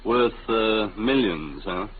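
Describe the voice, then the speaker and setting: A man's voice: lowish voice, animated, takes it slowly. An actor in a 1950s radio drama playing a middle-aged businessman and husband, flustered and stubborn, who stammers and blusters. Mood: hesitant, affable. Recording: tinny, noisy old radio recording.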